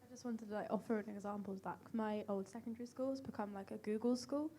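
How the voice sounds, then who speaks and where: A woman's voice: medium pitch, fairly even intonation, fast, warm tone, slight background noise. A young woman in the audience casually offers an example, with frequent "like" and "um". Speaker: audience member. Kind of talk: casual comment from the floor.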